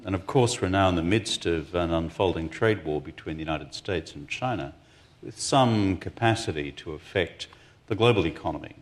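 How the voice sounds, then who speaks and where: A man moderating a panel discussion, framing questions and managing the audience with wry, dry humor. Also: low voice.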